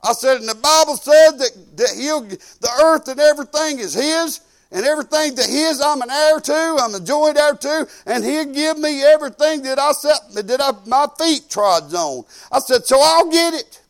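A man in his fifties with a Southern US accent preaching informally, folksy and conversational, swinging emphatically between quiet asides and loud declarations. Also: extremely high pitch, animated, moderate pace. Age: 50s. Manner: folksy and conversational, peppered with praise exclamations. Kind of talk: informal sermon with personal anecdotes and prayer.

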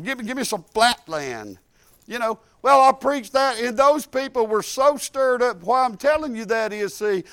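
A man in his late fifties preaching a sermon, animated, rising to loud exhortation and dropping to quiet asides. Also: extremely high pitch, clear, resonant tone, no echo. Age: 50s.

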